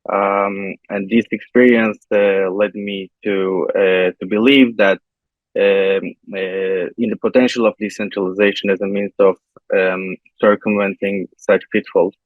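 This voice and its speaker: A man from Turkey answering interview questions in a halting way, with many pauses and fillers, over a video call. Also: fairly low pitch, level tone, no echo. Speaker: interview guest, software engineer. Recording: tinny phone-like line, dry.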